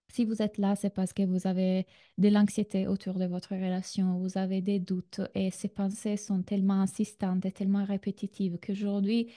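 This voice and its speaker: A woman with an Italian accent and a very mellow, dark-toned voice at a medium pitch. She talks like a coach leading an online class, conversationally, turning to her listeners with direct questions. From Italy. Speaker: relationship coach, masterclass host. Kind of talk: conversational online masterclass talk.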